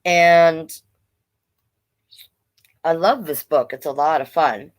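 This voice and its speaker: A woman with a Canadian accent reading picture books aloud to children, playful and expressive, with chatty asides. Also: deep voice, slow. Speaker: drag performer hosting children's storytime.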